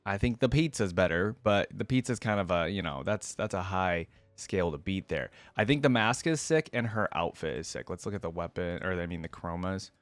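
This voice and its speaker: A man's voice: sits low, lively intonation, brisk, few pauses, fairly bright tone, no room sound. A man giving casual, informal reaction commentary, talking in a slangy, enthusiastic way as the host.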